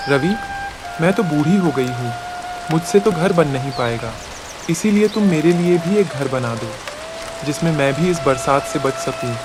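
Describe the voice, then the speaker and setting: A man's voice: fairly high pitch, lively intonation, a little slow, over music, crowd noise. Man voicing an old female bird, pleading over rain.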